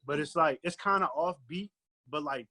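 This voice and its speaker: A man's voice: fairly high pitch, normal speed, pushed and bright. An American man chatting casually as a podcast guest, with loose, slangy phrasing that often repeats itself. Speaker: guest recording artist. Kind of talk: casual chat.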